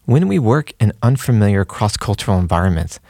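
Low-voiced male podcast host. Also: big pitch swings, vocal fry, darkish tone, no echo, studio quality. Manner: measured and explanatory. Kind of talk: scripted narration.

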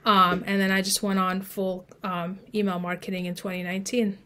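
A woman with a medium-pitched voice talks as a guest expert in an interview. She explains things informally from her own experience, leaning on conversational filler phrases as she goes. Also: level tone, normal speed, a bit bright, a little hiss or hum. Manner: informal, explanatory, frequent "like" and "you know". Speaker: podcast guest, email marketing expert. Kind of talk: conversational interview, telling own business story.

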